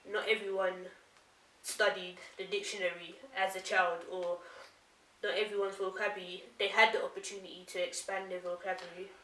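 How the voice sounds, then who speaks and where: A woman's voice: mid-range voice, flat delivery, brisk, sharp tone, recorded from a distance. A woman chatting casually as an interview guest, using plain, informal words. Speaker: guest, author. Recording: echoey room, off mic, faint hiss.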